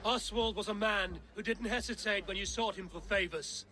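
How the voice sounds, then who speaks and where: An actor playing a fervent war leader in a video game, giving declamatory, rousing oratory. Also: male voice, sits high, moderate pace, natural voice. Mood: rousing tribute.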